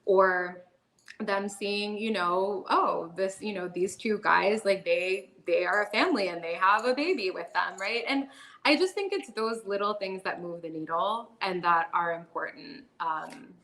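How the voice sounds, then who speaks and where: A woman's voice: mid-range voice, measured pace, a bit bright. A woman who is a podcast guest, speaking in a reflective, casual way, with long winding sentences full of fillers.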